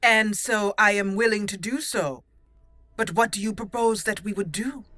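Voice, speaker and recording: A woman voicing a resolute young female elf mage in a game roleplay, speaking formally, deliberately and solemnly. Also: mid-range voice, sharp tone, no echo, close to an everyday voice. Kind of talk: improvised video game roleplay.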